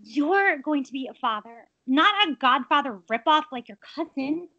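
A woman's voice: sits high, lively intonation, moderate pace, sharp tone, full character voice. A woman playing a frank young girlfriend in a drama script read, over a dull video call. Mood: earnest, pressing. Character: frank young girlfriend, newly expecting a child. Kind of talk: acted dialogue in a cold script read. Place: indoors on a group video call. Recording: dull, band-limited video call audio.

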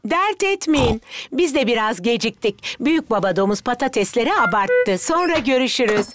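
A woman voicing a kindly but bossy old grandmother pig in a children's cartoon, brisk and sing-song. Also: fairly high pitch, expressive, no room sound, clean studio sound, close to an everyday voice.

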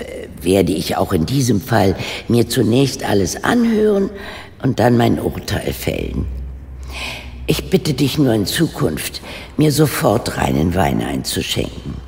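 Creaky, proper older lady detective. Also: very deep voice, warm tone, big-room sound, a little hiss or hum, full character voice. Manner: formal, measured, deliberate wording. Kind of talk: German radio play acting.

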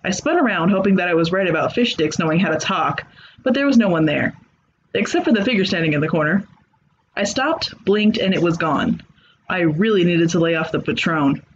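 A man's voice: high voice, lively intonation, fairly quick, dull audio, a little hiss or hum. A man reading a comedic horror story aloud with a dry, sarcastic delivery. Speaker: narrator. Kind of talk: comedic creepypasta narration.

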